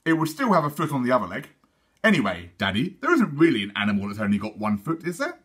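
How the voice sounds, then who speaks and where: A man's voice: mid-range voice, huge pitch swings, quick pace, fairly bright tone, husky, heavily acted. A man voicing a small, determined four-year-old girl who is serious and questioning. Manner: serious, plaintive.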